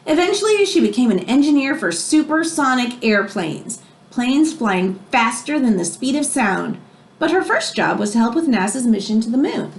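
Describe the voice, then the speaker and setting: A woman reading a children's book aloud in an expressive way, as if explaining it to young listeners. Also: fairly high pitch, moderate pace. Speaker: read-aloud storyteller.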